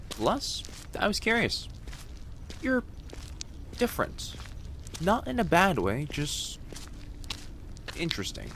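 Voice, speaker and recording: A man voicing a friendly, gently teasing young fox-spirit college student in an immersive roleplay audio, speaking casually and reassuringly to the listener. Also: sits high, wildly swooping pitch, takes it slowly, creaky, long pauses, a little hiss or hum, exaggerated voice. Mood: curious, tender. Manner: soft-hearted, reassuring, casual conversational delivery. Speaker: voice actor.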